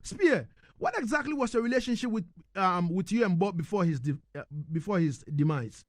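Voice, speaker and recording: A man's voice: high-pitched, very animated, a little fast. A man hosting a live radio interview in an emphatic manner, often checking that he is understood. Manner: emphatic, repeats "you know what I mean". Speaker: radio host. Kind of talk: live radio interview, reading listener messages.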